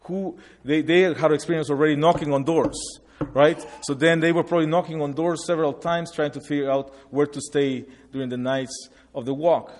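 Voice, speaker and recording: A man's voice: fairly high pitch, fairly even intonation, darkish tone. A man in his forties, from Guatemala, giving a personal testimony at a church event, stopping and restarting often. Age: 40s. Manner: halting, with many restarts and fillers.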